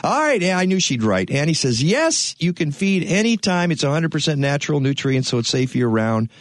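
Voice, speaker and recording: A man's voice: a little high, big pitch swings, a little fast, dry recording, studio quality. A man hosting a radio talk show, talking casually with many fillers and restarts.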